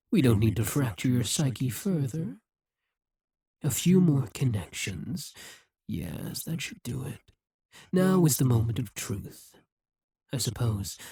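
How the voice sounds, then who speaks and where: A man voicing a possessive, scheming demon king in an audio roleplay, speaking slowly and condescendingly. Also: medium pitch, darkish tone, breathy edge, no room sound, clean studio sound, exaggerated voice. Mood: musing, then commanding. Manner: condescending, slow and musing.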